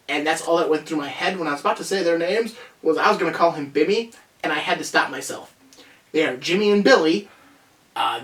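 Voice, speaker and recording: A man hosting a casual countdown review video, rambling and chatty as he corrects himself along the way. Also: on the high side. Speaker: host of a movie review video. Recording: roomy echo, distant from microphone.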